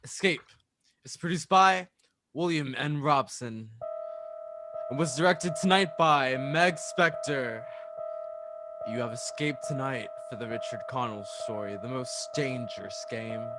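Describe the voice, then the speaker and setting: A man's voice: mid-range voice, unhurried. Male radio announcer over noticeable hum. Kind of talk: scripted radio credits announcement. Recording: clearly noisy, humming background.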